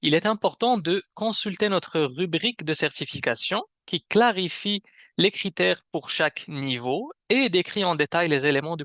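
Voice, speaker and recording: Bright-voiced man hosting a webinar. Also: fairly high pitch, no echo. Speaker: webinar host and program manager. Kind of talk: semi-scripted webinar presentation.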